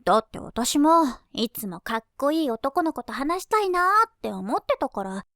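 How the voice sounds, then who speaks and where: A woman's voice: high-pitched, sing-song, normal speed, fairly bright tone, no room sound, clean studio sound, full character voice. A woman voicing a prim, sensible, teasing teenage schoolgirl best friend in an anime-style voice drama, with ladylike, exasperated phrasing. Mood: ladylike, envious.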